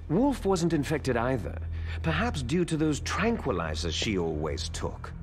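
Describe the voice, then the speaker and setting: An arrogant, widely swooping villain boss. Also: male voice, mid-range voice, big pitch swings, unhurried, a little hiss or hum. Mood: calculating, confident. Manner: commanding, theatrical, contemptuous. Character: arrogant, cunning male terrorist boss scheming for power. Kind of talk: dramatic video game cutscene acting.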